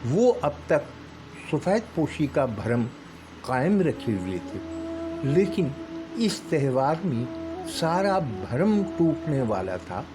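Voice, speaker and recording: A man reading short stories aloud as a storyteller, with measured, deliberate phrasing and pauses. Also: fairly high pitch, very animated. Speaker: storyteller and channel host. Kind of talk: dramatic Urdu short story narration. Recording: music bed under the voice. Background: background music.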